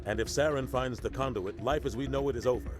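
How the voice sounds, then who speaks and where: A mid-pitched male captain voiced by an actor, a steadfast old soldier and mentor, speaks with grave urgency. Music is clearly audible behind the game dialogue. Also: fast, lightly acted. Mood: grave, urgent. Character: steadfast veteran military captain, loyal mentor. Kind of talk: video game voice acting.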